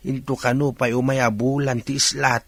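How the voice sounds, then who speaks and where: An actor playing a worried, patient poor husband and father in an Ilocano radio drama, earnest and raspy. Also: mid-range voice, little pitch movement, normal speed, a bit bright, dry recording. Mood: resigned, aggrieved. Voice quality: raspy, gravelly.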